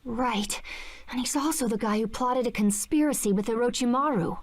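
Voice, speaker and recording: A woman's voice: on the high side, moderate pace, slight background noise, lightly acted. A woman voicing a bossy, exasperated teenage girl ninja in an English game dub. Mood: alarmed. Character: stern teenage girl ninja, scolding friend. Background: game music.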